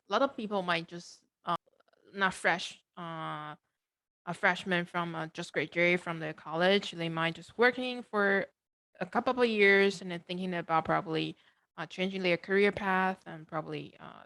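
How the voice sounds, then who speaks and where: A woman's voice: lowish voice, moderate pace, creaky, fairly bright tone. A woman hosting an informational interview, asking questions conversationally with loose, roundabout phrasing. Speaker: host, interviewer, education agency regional manager. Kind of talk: conversational interviewing at an education fair talk.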